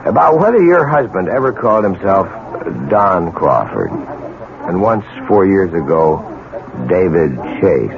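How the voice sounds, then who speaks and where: An actor playing a grave, insistent investigator in a 1970s radio drama, measured and probing. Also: male voice, deep voice, big pitch swings, gravelly, crowd noise, exaggerated voice. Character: grave, insistent investigator bearing bad news. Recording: phone-like old radio broadcast.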